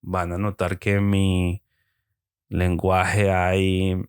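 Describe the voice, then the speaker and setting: A young Venezuelan man with a Venezuelan accent hosting a solo podcast, talking reflectively and pausing often with hesitant fillers. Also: low voice, completely flat delivery, clean tone, no room sound, clean studio sound. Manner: hesitant, with frequent ums and pauses. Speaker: podcast host.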